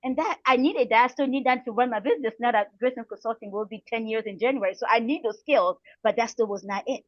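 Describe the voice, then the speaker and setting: A woman's voice: on the high side, fast talker, no echo, muffled recording. A middle-aged woman from the Republic of the Congo giving motivational advice as an interview guest, encouraging and earnest, repeating phrases for emphasis. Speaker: guest speaker, coach and counselor.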